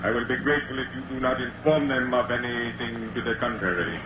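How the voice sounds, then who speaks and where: A man's voice: mid-range voice, steady tone, fairly quick, close to an everyday voice. A man playing a menacing island villain in an old radio drama, formal and coldly threatening. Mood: cold menace. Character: menacing male villain posing as island shark god. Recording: roomy, muffled, very noisy old radio recording.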